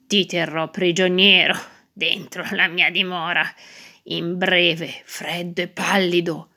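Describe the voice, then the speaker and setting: Storybook barrow-wight, played by a woman: menacing ghost, gloating, slow delivery edged with whispering. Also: on the low side, flat delivery, sharp tone, exaggerated voice. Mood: gloating menace.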